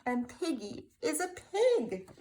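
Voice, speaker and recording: A woman reading a children's picture book aloud, expressive and speaking to young listeners. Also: high voice, slow, poor audio. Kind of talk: storybook read-aloud.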